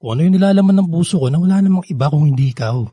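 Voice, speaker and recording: Radio drama lead: earnest lovesick young Filipino man, very animated, soft-toned, sweet-talking. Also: fairly high pitch, rapid-fire, breathy edge, no room sound. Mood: pleading, romantic. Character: earnest, lovestruck young male suitor, stubbornly hopeful.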